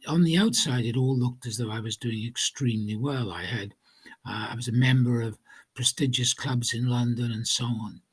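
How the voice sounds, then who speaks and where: A man from Wales recounts his past in a husky, breathy voice of middle pitch. He talks hesitantly, stopping on fillers and restarting his sentences. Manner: hesitant, many ums and restarts. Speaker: guest telling his recovery story. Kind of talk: personal testimony.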